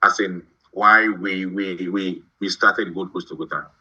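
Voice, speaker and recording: Young guy from ghana, stops n restarts a lot, bright forceful voice, kinda dull lo-fi sound. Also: low-pitched, fairly even intonation, slightly rough. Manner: halting, repeats words while thinking. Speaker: guest, shoe brand founder. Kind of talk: podcast interview.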